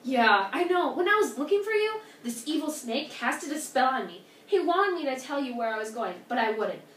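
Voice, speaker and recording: A woman's voice: high voice, big pitch swings, normal speed, a bit bright, slight background noise. An earnest camel hero from a storybook folktale, acted out by a woman. The voice swings widely with emotion, exclaiming and pleading. It sounds roomy and a little distant from the mic. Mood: excited, proud.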